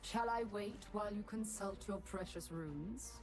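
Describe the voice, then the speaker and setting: An actress playing a cold, elegant, menacing intelligence agent in a video game, with clipped, disdainful delivery. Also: female voice, medium pitch, unhurried, faint music behind, lightly acted, rough recording. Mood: dry contempt. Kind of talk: scripted video game character lines.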